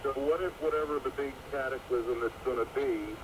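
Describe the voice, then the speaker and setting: Medium-pitched male radio guest, rambling, heard off a static-heavy shortwave broadcast. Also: a little fast. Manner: rambling, full of "you know". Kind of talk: casual talk-radio discussion.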